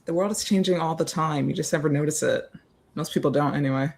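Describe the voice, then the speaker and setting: Creaky-voiced man reading a wry, guarded woman. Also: a little high, quick pace, darkish tone. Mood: casual, wry. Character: Karen, wry guarded woman, secretly a seductive vampire. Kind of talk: film script table read.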